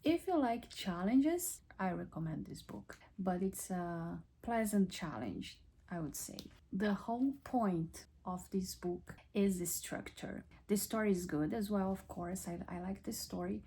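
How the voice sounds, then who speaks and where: A woman in her thirties whose first language is Portuguese, narrating a relaxed lifestyle vlog in a chatty way and restarting words now and then. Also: medium pitch, a little slow, few pauses, slightly rough. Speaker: lifestyle vlogger. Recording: soft background music.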